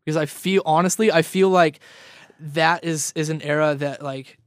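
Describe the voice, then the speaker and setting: A young man with a somewhat high voice talks loosely in an interview, tripping over and repeating his words as he goes. The sound is dry and close, with no room around it. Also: fairly even intonation, moderate pace, no room sound. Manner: rambling, repeats words, laughs often. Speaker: guest musician. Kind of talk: casual interview chat.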